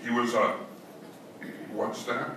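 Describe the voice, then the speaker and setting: An actor playing a gruff, skeptical policeman in an old-time radio drama, plain-spoken and colloquial. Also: mid-range voice, little pitch movement, slow pace, sharp tone, a little hiss or hum. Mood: disbelieving. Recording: echoey hall from far off, music behind.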